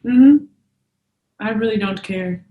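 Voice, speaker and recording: A woman's voice: medium pitch, slow pace, reverberant, narrow, tinny audio. A young woman co-hosting a casual podcast who speaks candidly and emphatically and says "like" a lot. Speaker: podcast co-host.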